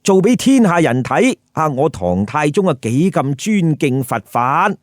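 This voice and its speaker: A man's voice: a little high, big pitch swings, a little slow, no room sound, clean studio sound. A man with a Hong Kong Cantonese accent telling a story with colloquial, emphatic commentary and rhetorical questions. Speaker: storyteller host.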